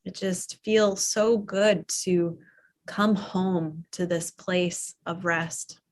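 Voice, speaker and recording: Yoga teacher lady, super slow and soothing, lots of pauses, soft voice. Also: lowish voice, fairly even intonation. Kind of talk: guided relaxation. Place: live online or studio class.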